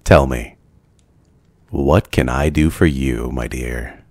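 A man's voice: extremely low pitch, slow pace, a little mellow, dry recording, heavily acted. A man playing a lonely, courteous immortal vampire in an intimate ASMR roleplay, speaking formally and hesitantly. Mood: brooding, intrigued.

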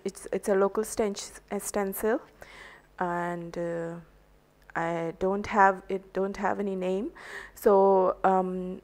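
A woman with an Indian English accent, a native Hindi speaker, narrating an art journaling tutorial in a halting way, full of ums and uhs. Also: mid-range voice. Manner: slow, hesitant, many ums and uhs. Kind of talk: crafting tutorial voice-over.

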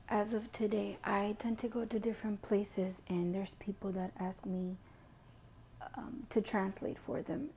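A young woman with a Mexican background reading a prepared self-introduction as an education student, slowly and carefully. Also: medium pitch, gravelly, mellow, husky. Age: young adult. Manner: slow, careful reading, switching to Spanish for a passage.

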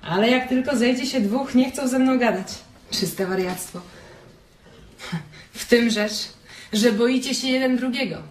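An actress playing a bored, lonely wife in a radio drama, brash and mocking, with a provocative edge. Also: female voice, a little high, a little slow, long pauses, natural voice. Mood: bitter complaint. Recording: roomy, noisy radio play recording.

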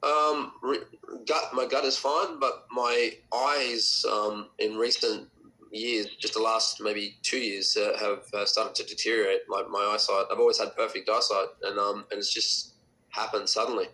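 A man in his forties has a lowish, bright, forward voice. He answers slowly and tentatively over a remote call, trailing off and starting his sentences again. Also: fairly even intonation, rough recording. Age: 40s. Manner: hesitant, many ums and restarts. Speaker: client of a reading. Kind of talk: casual conversation.

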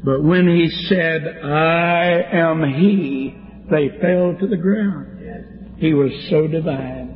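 A high-pitched man preaches in a church, building fervent, rhythmic cadences. The recording is dull and lo-fi, with a long reverb trailing off the walls. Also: takes it slowly, faint music behind. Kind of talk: sermon.

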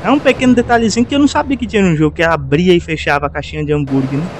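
A man's voice: on the high side, racing. A Brazilian man giving casual gameplay commentary, slangy and full of tag questions. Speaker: gaming video host. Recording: noisy game and car sounds behind. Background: game traffic ambience.

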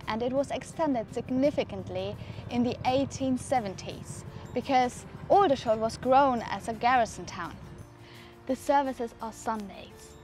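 Higher-voiced young woman vlogging. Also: darkish tone. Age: 20s. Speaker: vlogger, presenter. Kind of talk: travel vlog presenting to camera. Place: outdoors in a churchyard. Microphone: clip-on lapel mic.

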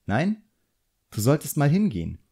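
A man voicing a composed, quietly sharp female drawing teacher in an audiobook, instructive and measured. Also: medium pitch, wildly swooping pitch, husky, voice pushed up, exaggerated voice.

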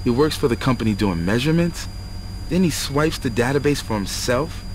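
A man's voice: mid-range voice, lively intonation, brisk, a little hiss or hum, close to an everyday voice, poor audio. A man playing a suspicious, foul-mouthed, jittery sidekick in a video game, hesitant and grumbling. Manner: hesitant, grumbling, casual swearing. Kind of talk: video game cutscene acting.

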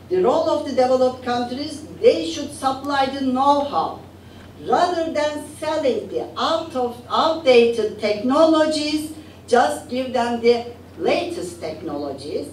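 An older woman, an English speaker, speaking on a panel and asking the audience rhetorical questions in an animated lecturing way. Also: on the high side, a little hiss or hum. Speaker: panelist, academic. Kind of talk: lecture-style panel talk. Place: conference room with audience. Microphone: podium mic, recorded from far camera. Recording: roomy hall audio from far off.

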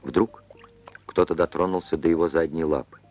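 A man playing the narrator of an animated fairy tale, telling it in a measured, unhurried way. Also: rumbling low, muffled recording. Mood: calm storytelling. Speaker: storyteller narrator. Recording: old film soundtrack with music.

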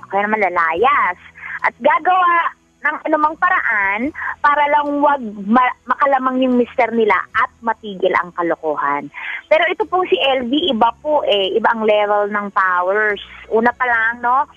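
A woman's voice: on the high side, dry recording, lo-fi sound. A woman with a Filipino accent co-hosting a radio advice show from her bedroom, earnest and motherly when giving advice. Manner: earnest and motherly when advising, giggly in banter. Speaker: radio co-host. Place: own bedroom.

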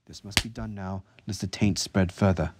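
A man's voice: low-pitched, fairly even intonation, normal speed, noisy background, lightly acted. A man voicing a firm, earnest female healer with a formal, insistent manner in an audiobook story. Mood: urgent. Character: earnest, firm healer sister, a woman of authority.

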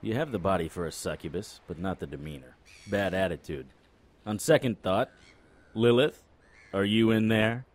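An actor playing a cocky, unflappable supernatural private investigator in an audio drama, full of wry, flippant banter. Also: male voice, on the low side, moderate pace, close to an everyday voice. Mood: teasing.

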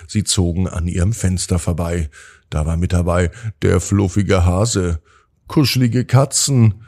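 A man's voice: low-pitched, mellow, husky. A man narrating a children's bedtime story in a soothing manner, drawing out words for emphasis.

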